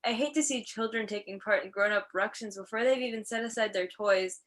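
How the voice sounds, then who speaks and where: A woman's voice: medium pitch, a little fast, fairly bright tone, close to an everyday voice. A woman in an amateur play as a defensive small-town wife and mother, folksy and pleading. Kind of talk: amateur one-act play acting. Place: filmed on a video call.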